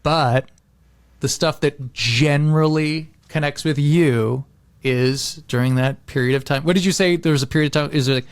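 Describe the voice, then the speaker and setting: A man's voice: on the high side, normal speed, smooth, no room sound. A man chatting casually and agreeably, feeling his way through loose phrases peppered with "like". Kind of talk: casual chat.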